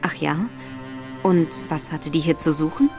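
A woman's voice: low voice, steady tone, unhurried, music in the background. A woman playing a poised, persuasive woman detective in a radio drama. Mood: gentle. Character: sharp, determined woman investigator.

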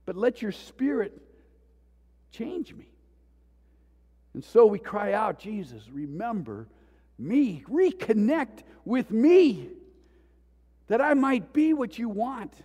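Impassioned high-voiced pastor in a church. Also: male voice, big pitch swings, slow pace, long pauses, slightly rough, big-room sound, dull audio. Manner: impassioned, swinging from loud pleas to hushed tones. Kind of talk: sermon. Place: church sanctuary.

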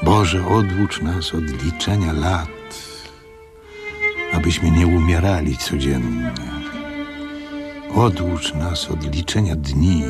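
Very deep, slow old innkeeper. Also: male voice, heavily acted. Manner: solemn, measured. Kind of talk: Polish radio drama narration and monologue.

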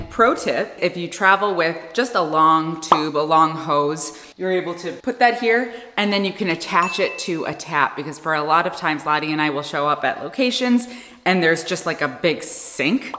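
A woman's voice: lowish voice, a bit bright, slight background noise. A woman in her thirties giving a casual, informal explainer to camera as a vlogger. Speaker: vlogger explaining a camper van build. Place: indoor garage workshop beside a van. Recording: echoey, reverberant room with music behind.

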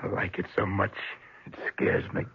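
A man's voice: moderate pace, noisy background, natural voice. An American actor playing an uneasy, wronged businessman, wary and hesitant, in a seventies radio drama. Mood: uneasy, resolved. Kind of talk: 1970s radio drama acting. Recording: dull old radio broadcast.

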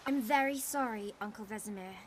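A woman's voice: fairly high pitch, fairly quick, a little hiss or hum, close to an everyday voice. An actress playing a clever, impatient young girl training as a witcher in a video game, pert and argumentative. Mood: contrite, reciting.

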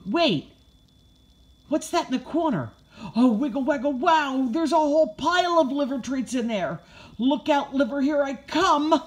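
A woman voicing an excitable, food-crazed young puppy who gushes childlike exclamations as he tells his own storybook tale. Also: mid-range voice, measured pace, slightly rough. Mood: excited, gleeful. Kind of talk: first-person children's storybook narration.

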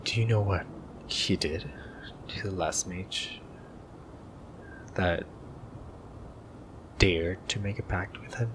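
A man's voice: sits low, slow pace, long pauses, noisy background. A man playing a grumpy, shy young incubus student in an ASMR roleplay, grudging and hesitant, sometimes half-whispering. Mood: stern, sardonic. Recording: steady rain ambience behind voice.

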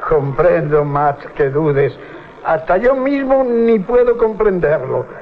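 A decrepit old sailor pleads wearily in a slightly high voice. It is radio drama from the eighties, heard through a thin, phone-like old recording. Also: male voice, big pitch swings, takes it slowly, exaggerated voice. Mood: pleading, troubled. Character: prematurely aged decrepit sailor, weary and pleading. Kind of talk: 1980s radio drama acting.

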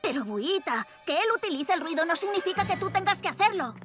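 Little cartoon superhero boy, very high voice, earnest, bold, music behind. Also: expressive, brisk, a bit bright. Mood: stern, questioning. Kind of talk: animated children's cartoon dubbing.

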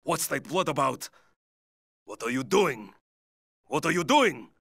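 Alert, gruff soldier guard, video game barks, forceful delivery, very dry close sound. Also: male voice, a little high, big pitch swings, unhurried, no echo, heavily acted. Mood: suspicious. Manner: terse, barking.

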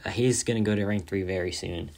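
Hoarse, tired young man, excited. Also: deep voice, fast talker. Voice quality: raspy. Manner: excited, rambling, says "like" often. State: hoarse, tired from a long day of talking. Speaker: mobile game content creator. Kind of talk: casual gameplay commentary.

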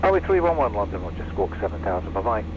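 Very fast male controller over noisy radio. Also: lowish voice, sing-song, phone-quality sound. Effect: aircraft radio transmission. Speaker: flight information radio operator. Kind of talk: clipped air traffic radio calls. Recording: noisy aviation radio link.

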